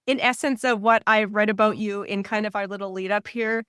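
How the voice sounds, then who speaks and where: A woman's voice: mid-range voice, steady tone, a little fast, a bit bright, clear, resonant tone, no room sound, studio quality. A woman hosting a podcast, eager and enthusiastic as she talks with her guest. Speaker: podcast host. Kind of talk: podcast interview.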